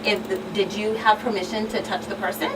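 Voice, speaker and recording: A woman's voice: mid-range voice, flat delivery, fairly quick. Woman expert explaining, noisy distant recording. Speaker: talk show guest expert. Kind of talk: earnest panel discussion. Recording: distant mic, clearly noisy room.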